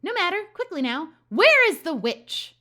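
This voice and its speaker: A woman's voice: very high-pitched, very animated, normal speed, bright, forceful tone, voice pushed up, heavily acted. Woman playing a theatrical brooding masked rogue. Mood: demanding, dramatic. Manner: dramatic, taunting, flamboyant. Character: theatrical brooding masked male intruder, roguish and doom-laden.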